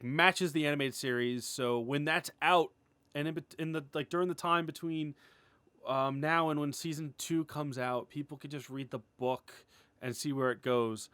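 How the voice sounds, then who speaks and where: A man's voice: a little high, fairly bright tone, clean tone, no room sound. A man talking casually and enthusiastically in an unscripted, rambling update, informal and peppered with "like", as an indie creator addressing followers. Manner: enthusiastic, gushing; rambling, informal, heavy use of "like". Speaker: indie animator, writer and series creator.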